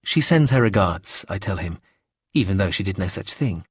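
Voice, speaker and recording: Husky-voiced man reading a story. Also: deep voice, expressive, no echo, studio quality. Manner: measured, wry. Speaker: narrator. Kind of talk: first-person audiobook narration.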